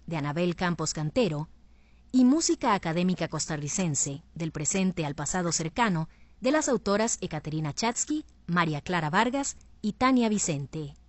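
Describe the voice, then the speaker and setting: A woman's voice: lowish voice, no echo. A woman reading scripted narration as the host of a radio programme.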